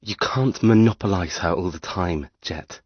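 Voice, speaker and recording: A man playing a soothing but quietly defiant younger brother in an audiobook, with an easygoing, coaxing manner. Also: low-pitched, a little slow, slightly rough, no echo, lo-fi sound. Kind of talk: audiobook character dialogue.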